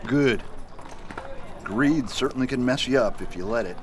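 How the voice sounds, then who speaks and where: An actor playing a steady, determined male US marshal in a Western radio drama, commanding and earnest. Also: mid-range voice, normal speed, noisy background, close to an everyday voice. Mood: warm. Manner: commanding in action, earnest and friendly at rest. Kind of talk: amateur radio drama acting.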